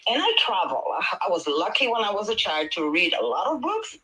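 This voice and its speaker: A woman's voice: mid-range voice, very animated, brisk, pushed and bright, low-quality recording. A woman from Senegal with a Senegalese accent, a native Wolof speaker, speaking earnestly on a panel, rambling with fillers and restarts over a video call. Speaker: panel speaker, midwife and healer. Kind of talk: conversational talk on a panel.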